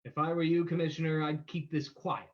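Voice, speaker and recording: A man playing a composed, shrewd gentleman detective with a dry, decisive manner in an old-time radio play. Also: on the high side, level tone, a little fast, muffled recording, natural voice.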